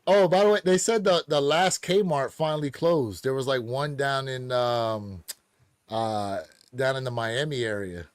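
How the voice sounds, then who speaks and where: A man's voice: mid-range voice, a little slow, clear, resonant tone, dry recording, clean studio sound. An American man hosting a casual livestream chat in a conversational, slangy way. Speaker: podcast host.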